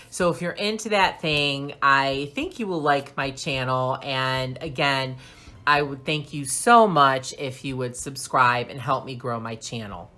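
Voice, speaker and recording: A woman hosting a craft channel, chatting casually and rambling a little, slightly hoarse and clearing her throat now and then. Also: sits low, fairly bright tone. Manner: chatty, rambling, slow and deliberate. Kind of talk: casual vlog talk.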